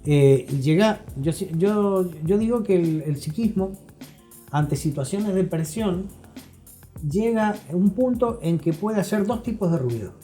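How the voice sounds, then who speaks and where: A middle-aged Argentine man with a mellow, dark voice speaks as an expert guest in an interview. He explains in a deliberate, emphatic way, often checking that he is followed, with music under his voice. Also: high-pitched, expressive. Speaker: guest expert, psychology professional.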